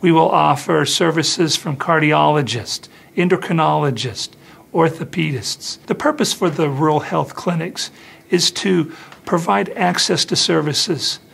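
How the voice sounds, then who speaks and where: A man's voice: a little high, measured pace, creaky, fairly bright tone, slightly rough. An American man in his forties explaining health services in an interview, speaking as an administrator. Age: 40s. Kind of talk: interview explanation for a hospital newsreel. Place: indoor office or meeting room.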